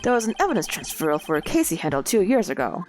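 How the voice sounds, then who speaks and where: Woman doing a goofy loyal cop guy, says pal a lot, lowish voice, swoopy, kinda stuffy from a cold. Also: sing-song, fast, darkish tone, soft background music. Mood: earnest. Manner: folksy, calls people pal. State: sick with a cold.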